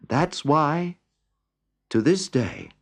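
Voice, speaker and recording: A man narrating a children's story, dramatic and savouring his pauses. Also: on the high side, big pitch swings. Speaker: storybook reader. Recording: music clearly playing behind the reading. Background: music.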